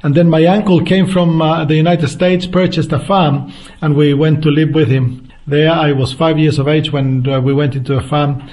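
A man's voice: fairly high pitch, level tone, normal speed, a little mellow. A man in his fifties with a Chilean Spanish accent, a guest evangelist answering interview questions earnestly and unhurriedly, with frequent restarts. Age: 50s.

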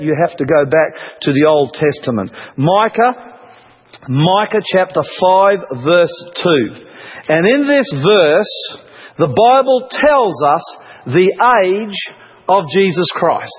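A man preaching a sermon, deliberate and emphatic, repeating phrases and pausing for effect. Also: high voice, breathy edge. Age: 40s. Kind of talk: sermon, Bible study. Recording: muffled radio-like sound, long hall reverb.

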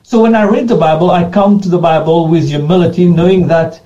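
A man's voice: on the high side, a little fast, warm tone, lo-fi sound. A man whose first language is Creole, answering questions in earnest and often repeating and restarting words as he goes. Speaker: pastor being interviewed. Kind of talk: unscripted interview answers.

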